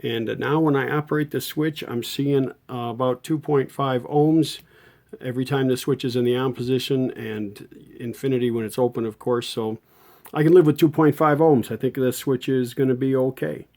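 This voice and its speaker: A man's voice: medium pitch, fairly even intonation, normal speed, darkish tone. A middle-aged man giving a casual hands-on repair tutorial, talking in an informal, folksy way as he works. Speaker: host of a vintage radio repair channel.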